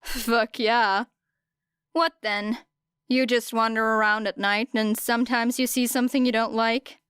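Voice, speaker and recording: A woman's voice: fairly high pitch, steady tone, measured pace, fairly bright tone, clean tone, no echo. Woman voicing a cocky, sarcastic young girl. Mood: cheeky, dry. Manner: snarky, dry, teasing. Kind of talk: audiobook character dialogue.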